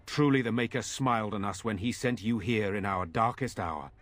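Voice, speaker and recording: An actor playing a noble leader in a fantasy game, speaking in a formal, oratorical way with stately gratitude. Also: male voice, on the low side, normal speed, lightly acted. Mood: solemn, grateful. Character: noble man leading defenders, stately and grateful.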